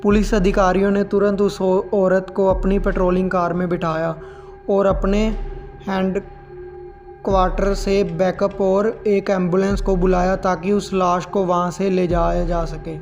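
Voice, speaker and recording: A man's voice: sits high, little pitch movement, unhurried, a little mellow, dull audio, faint music behind. A man narrating scripted horror stories as the host of a storytelling channel.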